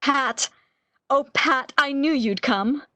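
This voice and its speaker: Woman acting a high-voiced, wistful bedridden romantic heroine. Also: slow pace, sharp tone, no room sound, heavily acted. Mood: emotional, relieved. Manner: breathless, emotional, old-fashioned melodramatic phrasing. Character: bedridden lovesick woman, wistful, eager, longing for lost love. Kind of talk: old-time radio romance acting.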